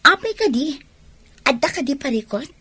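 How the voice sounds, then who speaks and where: A lovelorn young student in a Filipino radio drama. Her high voice is plaintive and torn, recorded close and dry. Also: very animated, moderate pace, pushed and bright, dry recording, heavily acted. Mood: affectionate, concerned.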